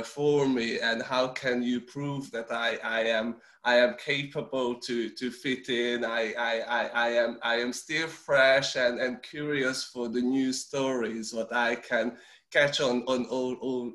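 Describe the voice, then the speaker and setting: A man in his forties, a native Hungarian speaker from Romania, speaking English with a Hungarian accent. He talks haltingly over a video call, with many restarts and repeated words. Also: medium pitch, a bit bright, roomy sound. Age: 40s. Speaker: interview guest. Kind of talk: casual video-call interview.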